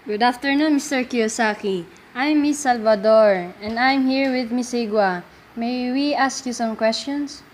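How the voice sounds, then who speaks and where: Woman playing a high-voiced skeptical interviewer. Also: measured pace, natural voice. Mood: polite, skeptical. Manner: formal, challenging questions. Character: polite but skeptical female radio interviewer. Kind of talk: scripted interview in a student radio play. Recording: faint background noise.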